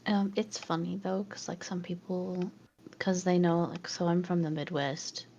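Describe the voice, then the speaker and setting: A woman's voice: fairly low pitch, fairly even intonation, measured pace, dark tone, dull audio, slight background noise. A woman from California, a podcast guest, chatting casually and laughing often. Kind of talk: casual chat.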